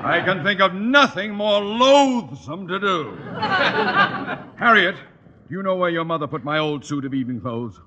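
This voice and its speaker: Pompous sputtering father, high forceful voice, swooping delivery, old radio comedy, noisy recording. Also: animated, normal speed, lo-fi sound. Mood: pompous indignation. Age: middle-aged. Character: blustering, exasperated middle-aged father and school principal. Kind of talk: 1950s radio comedy acting.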